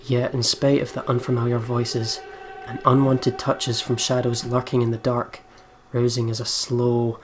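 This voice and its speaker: A man's voice: medium pitch, monotone, a little slow, hardly any pauses, a little hiss or hum. A man co-hosting a let's play, chatting casually and reading game text aloud. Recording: game music behind the voice. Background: video game music.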